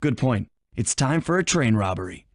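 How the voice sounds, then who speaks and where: A man's voice: mid-range voice, sing-song, normal speed, no room sound, close to an everyday voice. A man voicing a suave young animal thief in a cartoon video game, wry, casual and unflappable. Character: suave, laid-back, wisecracking young male animal thief.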